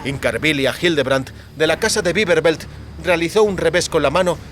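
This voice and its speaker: A man's voice: a little high, a little fast, fairly bright tone, a little hiss or hum. A man reading a fantasy audiobook as dramatic scripted narration.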